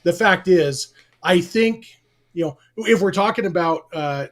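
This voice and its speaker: A man with a fairly high voice co-hosts a relaxed sports podcast. He lays out his points at length and slips in deadpan jokes. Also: a little slow. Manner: dry humor, detailed and explanatory. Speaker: podcast co-host. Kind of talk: casual sports podcast chat.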